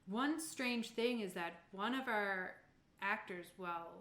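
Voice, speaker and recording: A woman's voice: medium pitch, takes it slowly, fairly bright tone. A woman playing an anxious, uncertain male theatre owner who hesitates and rambles. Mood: worried, unsure. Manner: hesitant, rambling, self-correcting. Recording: roomy, echoey sound.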